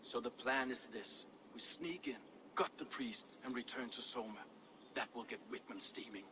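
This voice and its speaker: A man playing a proud, earnest Viking warrior and shipbuilder in a video game, speaking in a measured, solemn way. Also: medium pitch, noisy background, close to an everyday voice. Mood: plotting. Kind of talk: video game character acting.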